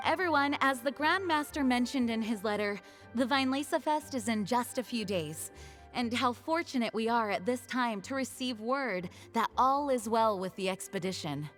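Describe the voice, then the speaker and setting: A woman voicing a composed, dutiful knight commander in a video game, formal, measured and gracious. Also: a little high, big-room sound.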